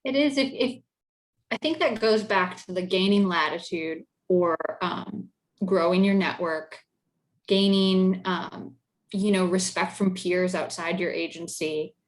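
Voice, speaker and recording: A woman's voice: on the low side, measured pace, low-quality recording. A woman moderating an informal online panel discussion, chatting casually with many fillers and restarts over a video call. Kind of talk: casual virtual panel chat.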